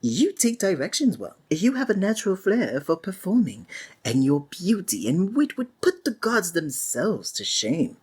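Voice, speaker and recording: A woman playing a flamboyant, courtly and sinister stage magician in a theatrical ASMR roleplay, with flowery, drawn-out, flattering phrasing. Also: fairly low pitch, slightly rough, exaggerated voice. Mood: adoring, flattering.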